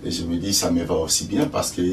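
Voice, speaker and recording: Older guy, really low voice, slow and full of ums, far off in an echoey room. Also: little pitch movement, creaky. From Congo. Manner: slow, hesitant, many fillers. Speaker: actor and stage director, guest. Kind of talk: relaxed interview answers. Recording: echoey room, distant mic, faint noise.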